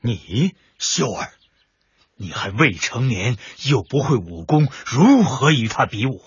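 An actor playing an aged, resigned, fatherly martial arts master in a radio drama, speaking in a halting, weighty way with a husky, breathy voice. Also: medium pitch, big pitch swings, no echo, lo-fi sound, full character voice. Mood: strained, worried.

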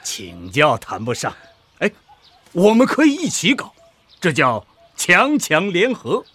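An actor playing a pompous older research professor in a Chinese radio drama, husky and lecturing in manner. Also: male voice, sits high, wildly swooping pitch, a little slow, fairly bright tone, dry recording, heavily acted. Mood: lecturing, self-assured.

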